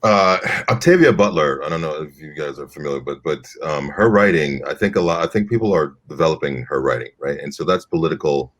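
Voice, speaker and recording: A man on a discussion panel who speaks haltingly and restarts his sentences often. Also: very deep voice, expressive.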